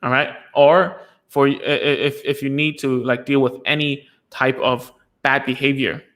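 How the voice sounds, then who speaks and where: A man's voice: medium pitch, fairly even intonation, unhurried, fairly bright tone. Man, speech therapist, live stream Q&A, casual, rambling, fillers. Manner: rambling, many fillers and restarts.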